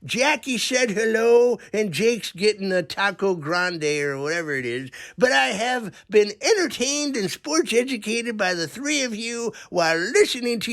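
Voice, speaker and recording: Rambling comic sports-fan caller put on by a performer, crude and punning, creaky voice, unhurried delivery. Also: mid-range voice, a bit bright. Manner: rambling, slow, pun-happy comic delivery.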